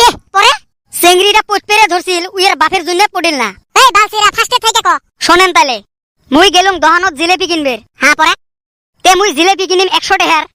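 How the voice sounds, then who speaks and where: High-voiced woman, cheeky banter, bright and quick. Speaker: cartoon channel creator and voice of several characters. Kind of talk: cartoon comedy voice acting.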